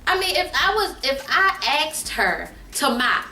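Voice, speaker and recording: A woman's voice: high voice, big pitch swings, measured pace, background noise. A middle-aged woman telling a funny story casually, emphatic and repeating phrases for comic effect. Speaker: guest mother telling a family story on her daughter's vlog. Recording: roomy echo, distant mic, faint music.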